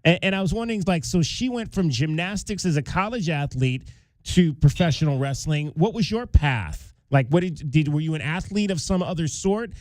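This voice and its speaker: Man, sports radio host, friendly, stumbling restarts, slightly high voice, dry studio mic. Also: expressive, normal speed, a little mellow, no room sound. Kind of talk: sports talk radio interview.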